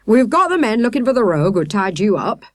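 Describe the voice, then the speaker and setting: A woman's voice: mid-range voice, very animated, no room sound, studio quality, voice pushed up, natural voice. Blustering, stern British police sergeant, voiced by a woman. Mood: shocked, snapping. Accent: British English. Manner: brisk, authoritative, emphatic.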